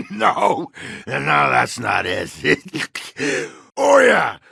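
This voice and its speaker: A man playing a crude, boozy, belligerent dwarf warrior in a video game, with a raspy, guttural voice and a coarse, blustering, swaggering manner. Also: a little high, bright, forceful tone, no echo, studio quality, heavily acted. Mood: drunken amusement. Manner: coarse, blustering, swearing, slurred drunken swagger. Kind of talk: video game character acting.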